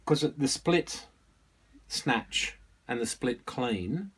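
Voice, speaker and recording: A middle-aged man reminiscing casually in an unscripted way, often halting and searching for his words. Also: medium pitch, lively intonation, fairly bright tone, breathy edge. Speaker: storyteller showing family photo archive.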